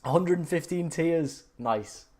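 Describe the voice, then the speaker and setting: A man's voice: a little high, expressive, slow pace, darkish tone. A young man from England chatting casually on a live stream, reading out viewer questions and talking through options with lots of fillers. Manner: chatty, filler-heavy, restarts, reads viewer questions aloud. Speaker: fantasy football streamer answering live chat.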